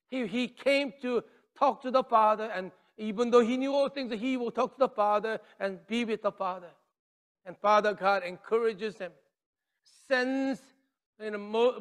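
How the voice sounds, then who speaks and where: Middle-aged male pastor, very high voice, animated sermon delivery, long church-hall reverb. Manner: animated, gesturing widely, swings from loud declarations to near-whispers. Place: church stage with drums and podium.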